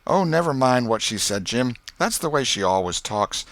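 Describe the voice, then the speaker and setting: A man's voice: medium pitch, animated, moderate pace, fairly bright tone, no room sound, pitched above the performer's own voice. A man voicing a scrappy, wheedling young American boy with rustic, slangy, emphatic speech in a storybook reading. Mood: wheedling, cocky.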